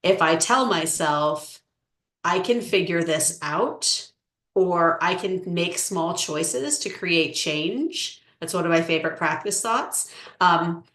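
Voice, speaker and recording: A woman coach answering interview questions in a measured, explanatory way with frequent "um"s. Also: on the low side, animated, fairly bright tone. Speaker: relationship coach. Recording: echoey room.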